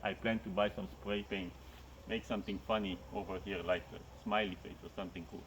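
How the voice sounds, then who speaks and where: A man's voice: on the low side, steady tone, fairly quick, a little hiss or hum, low-quality recording. A man in his thirties with a Romanian accent, chatting casually as he walks through a construction site. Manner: chatty, repeats words while talking. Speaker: vlogger. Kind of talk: casual vlog walkthrough.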